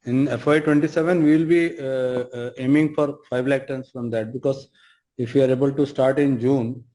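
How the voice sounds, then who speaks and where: A man with an Indian accent answering as a company executive on an earnings call, speaking haltingly and repeating phrases. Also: medium pitch, fairly even intonation, warm tone. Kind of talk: spontaneous business answers on a conference call.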